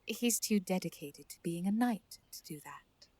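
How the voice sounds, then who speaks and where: A woman's voice: fairly low pitch, animated, measured pace, dark tone, husky, no room sound. A woman playing a genial druid lady's companion in a fantasy audio drama, formally worded yet playful. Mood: amused. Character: genial, teasing female druid companion to a lady.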